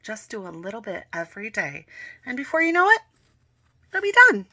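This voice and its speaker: A woman voicing a kindly grandfather giving gentle, encouraging advice in a read-aloud story. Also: mid-range voice, very animated, fairly quick, husky, no room sound, voice pushed up, heavily acted.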